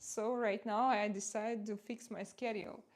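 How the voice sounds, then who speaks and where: A forty-something woman speaks English with a noticeable Russian accent, chatting at an easy, unscripted pace. She often pauses on fillers and restarts her sentences as she talks to her stream audience. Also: medium pitch, fairly even intonation. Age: 40s. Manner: chatty, halting, many fillers, reads out viewer comments. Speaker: live streamer. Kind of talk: casual livestream chat while doing makeup.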